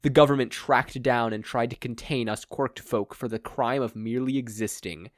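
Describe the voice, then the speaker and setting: A man's voice: medium pitch, moderate pace, no room sound, studio quality, lightly acted. A man playing a grandiose, self-deluded male supervillain with a pompous, melodramatic, wordy delivery in a fan fiction reading. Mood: solemn.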